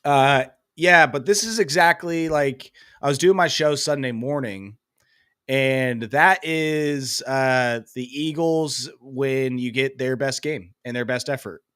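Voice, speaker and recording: A man's voice: mid-range voice, slow, a bit bright, clear, resonant tone, dry recording, clean studio sound. A young man with a head cold, rambling casually as co-host of a sports podcast, full of fillers and self-deprecating asides.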